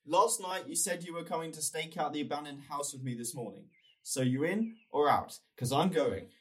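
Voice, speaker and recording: A man's voice: a little high, normal speed, a bit bright, lightly acted. A young man with a British accent acting a grieving, headstrong, insistent character in a radio drama. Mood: determined, impatient. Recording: distant voice under prominent music.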